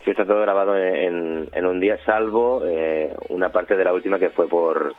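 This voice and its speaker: A man's voice: low-pitched, fairly even intonation, moderate pace. A man, a radio documentary producer, talking in an interview, hesitant and full of restarts. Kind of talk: interview talk. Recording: phone-like line.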